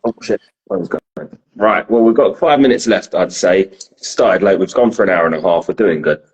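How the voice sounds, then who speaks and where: A man hosts a casual podcast chat. He tells animated stories and swears freely as he goes. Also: low voice, moderate pace, low-quality recording. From South London. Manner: lively storyteller, swears freely. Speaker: podcast host.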